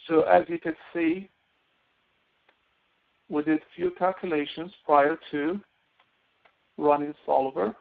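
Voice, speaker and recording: A man teaching a live software tutorial as a course instructor, explaining things step by step, heard through narrow phone-like audio. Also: fairly high pitch, steady tone, slow, vocal fry, long pauses.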